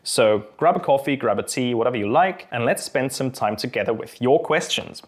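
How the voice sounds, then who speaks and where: A man's voice: medium pitch, expressive, a little fast, slightly rough. A young adult man from Austria, a native Austrian German speaker whose English carries a British accent, hosting a casual question-and-answer video in a friendly, thoughtful and slightly hesitant manner. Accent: Austrian German mixed with British English. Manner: friendly, thoughtful, a little hesitant with frequent ums. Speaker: channel host and fencing instructor. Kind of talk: casual Q&A to camera.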